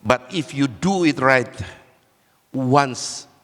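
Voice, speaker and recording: A man preaching a Pentecostal sermon while fighting a cold and cough, swinging between loud, raised exhortation and low, quiet asides. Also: extremely slow. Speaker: guest preacher. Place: large church hall. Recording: church hall with long reverb.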